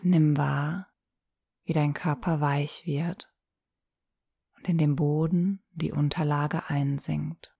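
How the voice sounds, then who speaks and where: A woman leads a guided relaxation in a low, even voice that barely moves in pitch. Her delivery is soft, breathy and soothing, with calm instructions and long pauses. The sound is very dry and close. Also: no room sound, dull audio. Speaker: yoga nidra guide.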